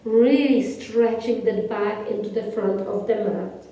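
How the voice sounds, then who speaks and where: A woman with a Polish accent guiding a slow yoga class in soothing, gently paced cues with long pauses. She speaks English as a second language. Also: mid-range voice, fairly even intonation. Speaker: yoga instructor. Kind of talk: guided yoga class instruction. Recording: echoey room from far off.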